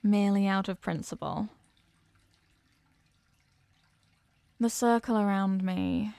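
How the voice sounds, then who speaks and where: An ancient eldritch goddess, acted by a woman in a horror audio roleplay, imperious and menacing. Her voice is mellow and gravelly, recorded very dry and intimate. She talks down to lesser beings with deliberate, hungry condescension. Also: mid-range voice, no room sound. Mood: hungry, restrained. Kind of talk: slow horror audio roleplay monologue, ASMR.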